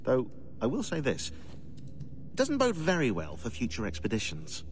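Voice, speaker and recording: An actor playing a measured, knowing mentor who explains calmly. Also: male voice, medium pitch, a little hiss or hum. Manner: calm, explanatory.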